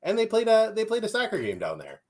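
A man's voice: sits high, fast talker. A man from Minnesota with a Minnesotan accent, co-hosting a casual soccer chat with wry jokes. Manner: wry, joking, animated. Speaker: podcast co-host.

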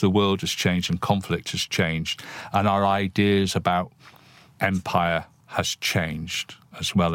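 A man's voice: deep voice, no room sound. A man speaking as a poet and interview guest, giving thoughtful, analytical answers in a reflective conversational style.

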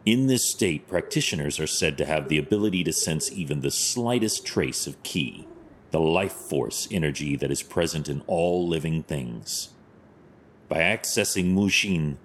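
Brooding masked hero, rock-bottom pitch, terse, solemn, radio-play delivery, light ambient noise. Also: male voice. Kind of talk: audio drama acting.

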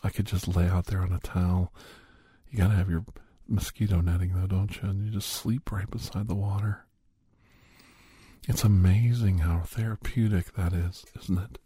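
A middle-aged man hosting a whispered ASMR roleplay, chatting softly and gently teasing the listener as a caregiver. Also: low-pitched, fairly even intonation, normal speed, breathy edge, no echo, clean studio sound. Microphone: close microphone.

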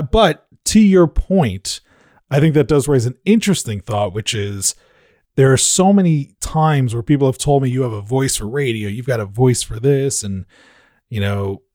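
A man with a deep voice chatting casually on a podcast, reflective and self-deprecating. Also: darkish tone, no echo, clean studio sound. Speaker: podcast host.

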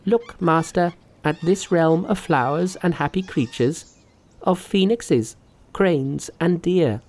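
A man's voice: on the high side, slow, darkish tone, voice pushed up. A man voicing a clever, cheerful monkey from a storybook, confident and emphatic in his reassurance. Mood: earnest, uplifted. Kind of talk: storybook character voice.

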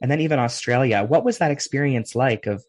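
A man's voice: medium pitch, a little fast, a little mellow, no room sound, studio quality. A man hosting a podcast, asking enthusiastic, rambling interview questions with small restarts. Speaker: podcast host.

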